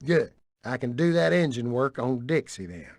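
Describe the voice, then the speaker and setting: An actor playing a good-natured, easygoing country mechanic with a Southern American accent and a neighborly, informal manner. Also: male voice, medium pitch, lively intonation, moderate pace, darkish tone, no echo, clean studio sound. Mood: pleased, modest.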